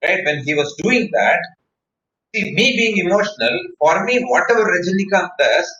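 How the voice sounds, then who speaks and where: A man's voice: high-pitched, sing-song, moderate pace, pushed and bright, distant mic, a little hiss or hum. A man with an Indian accent giving an online talk as a public-speaking trainer, explaining emphatically with anecdotes and repeated phrases. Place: online session over video call. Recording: muffled, echoey online call recording.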